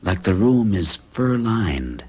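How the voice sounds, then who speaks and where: A hushed, dreamy hypnotized man. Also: low voice, sing-song, slow pace, husky, heavily acted. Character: uneasy man under hypnosis. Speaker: narrating lead character. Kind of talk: 1970s radio drama acting.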